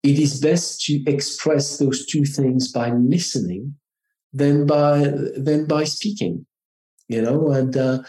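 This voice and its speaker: A middle-aged man from France speaks with a clear French accent, giving unhurried, halting answers full of restarts. His tone is mellow and low-key, and the recording has a noticeably roomy echo. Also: steady tone. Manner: measured, halting, with frequent restarts. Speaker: marketing executive guest. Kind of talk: reflective interview answers.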